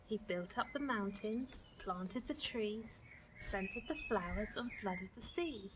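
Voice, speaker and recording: A woman's voice: medium pitch, animated, unhurried, natural voice. Woman voicing a solemn, kindly messenger bird, noisy recording. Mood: reverent, gentle.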